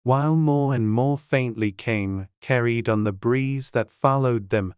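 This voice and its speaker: A man's voice: mid-range voice, a little slow, a little mellow, clean tone, no room sound, studio quality. A man reading scripted storybook narration as an audiobook narrator.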